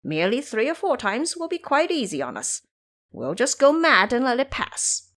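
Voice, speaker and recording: Cocky, teasing male monkey monk played by a woman, storybook adventure, lifted pitch, lively swoops. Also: normal speed. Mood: gleeful, cocky. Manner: boastful, teasing. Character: brash, cocky male monkey-monk trickster, fearless and playful. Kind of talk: audio drama storytelling.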